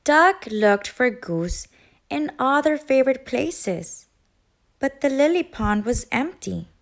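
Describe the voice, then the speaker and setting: Lady teacher reading a kids book out loud, high voice, slow and very expressive. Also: gravelly. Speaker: storyteller, teacher. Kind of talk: expressive children's read-aloud narration.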